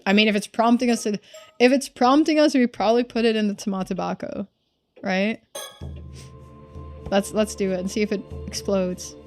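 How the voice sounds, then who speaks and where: A woman's voice: medium pitch, fairly even intonation, normal speed, long pauses, darkish tone, soft background music. A woman streaming a game, giving casual commentary as she thinks aloud.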